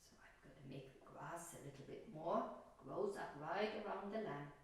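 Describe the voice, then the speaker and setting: A woman's voice: fairly low pitch, moderate pace, slight background noise. Woman teaching painting in an echoey room. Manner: encouraging, talking to viewers with simple questions and remarks. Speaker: art teacher. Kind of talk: gentle painting tutorial for children. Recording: very roomy, echoey, distant microphone.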